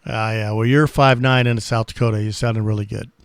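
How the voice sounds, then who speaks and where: A man hosting casual amateur radio contacts, talking in a friendly, halting way. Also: on the low side, steady tone, smooth. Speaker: amateur radio operator and channel host.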